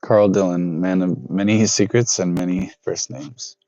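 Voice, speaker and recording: A man's voice: deep voice, a little slow, gravelly, warm tone, dry recording. A man in his thirties chatting casually with viewers on a livestream, rambling and correcting himself as he goes. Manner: rambling, self-correcting, reads out chat. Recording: dull lo-fi dry sound.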